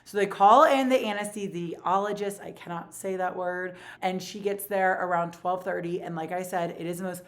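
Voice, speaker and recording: A woman in her forties with a naturally low voice tells a personal story straight to camera. She talks casually and with energy, full of "like" and quick asides. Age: 40s. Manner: animated, rapid retelling with many fillers. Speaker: vlogger.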